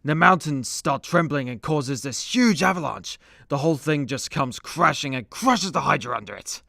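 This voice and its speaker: An actor playing an easygoing, hard-drinking immortal demigod in a superhero comedy radio play, chatting casually like a storyteller. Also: male voice, a little high, expressive, moderate pace, creaky, bright, forceful tone, dry recording, studio quality, exaggerated voice. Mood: boastful storytelling.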